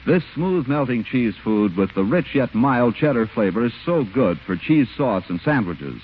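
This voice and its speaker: Old-time radio announcer guy, 1940s, really lively pitch, muffled recording with music behind. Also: normal speed, a little hiss or hum. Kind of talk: 1940s radio announcing, scripted sponsor read.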